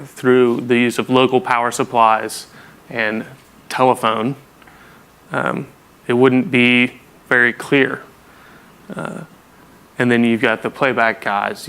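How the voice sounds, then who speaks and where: Flat-toned man explaining, bright clear voice. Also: mid-range voice. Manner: casual, plain-spoken explaining with everyday examples. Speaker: presenter of a technical training session. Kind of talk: informal technical lecture, explaining.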